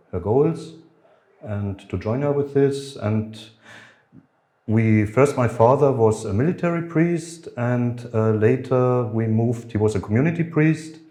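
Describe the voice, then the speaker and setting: Middle-aged man, halting. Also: mid-range voice, warm tone, roomy sound. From Germany. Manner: halting, many fillers and restarts. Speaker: guest. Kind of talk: conversational podcast interview.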